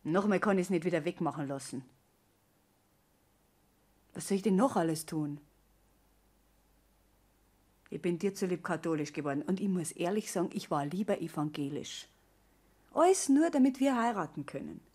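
A woman's voice: fairly low pitch, animated, a little fast, darkish tone, slightly rough. An actress playing a pleading young woman in a naturalistic radio play, intimate and pressing. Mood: pleading, frustrated. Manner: pleading, intimate, often dropping to a whisper. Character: pregnant young woman pressing her lover to marry.

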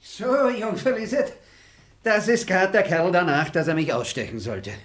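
A man's voice: high voice, moderate pace, fairly bright tone. An actor playing a boastful, stingy male bailiff who mocks a maid and mutters asides in a radio play. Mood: smug. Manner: smug, mocking, muttering asides.

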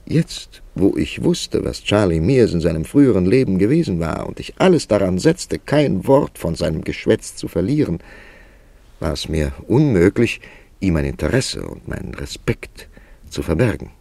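An actor playing a thoughtful, calculating male writer who tells his story in a deliberate, literary way in a German radio play. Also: low voice, big pitch swings, mellow, no echo, heavily acted. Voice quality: creaky, gravelly.

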